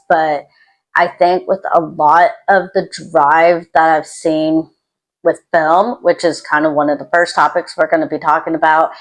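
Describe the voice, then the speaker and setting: A woman's voice: fairly low pitch, poor audio. A woman talking casually to camera as a YouTube host, in an introverted, self-deprecating and rambling way. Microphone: external microphone.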